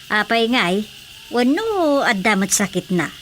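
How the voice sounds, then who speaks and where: A woman's voice: fairly high pitch, sing-song, measured pace, slight background noise. A woman acting a concerned, prying married aunt in a radio drama, questioning and insistent with an Ilocano accent. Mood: curious.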